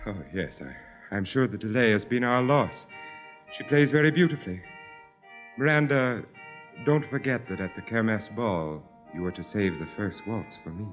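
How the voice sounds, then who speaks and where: An actor playing a haughty, cultivated aristocratic landowner, formal and courtly, in an old-time radio drama. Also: male voice, on the low side, lively intonation, moderate pace, natural voice. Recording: muffled vintage radio recording with music.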